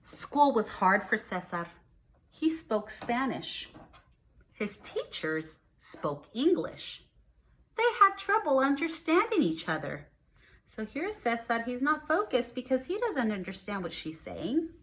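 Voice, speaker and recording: A woman's voice: on the high side, very animated, takes it slowly, a bit bright. A woman teaching first graders, reading aloud and explaining things in simple words with emphasis on key words. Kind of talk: read-aloud lesson for young children.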